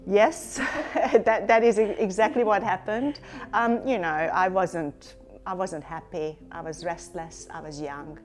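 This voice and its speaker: A woman's voice: mid-range voice, measured pace, soft background music. A middle-aged woman from Hungary, a company chief executive answering interview questions in a reflective way, with many fillers and restarts. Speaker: company CEO, guest.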